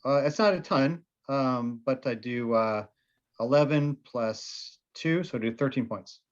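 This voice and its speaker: Player: nasal man, casual and halting, slightly dull lo-fi sound. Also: medium pitch. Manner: hesitant, lots of "uh" while counting damage. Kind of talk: casual tabletop game talk.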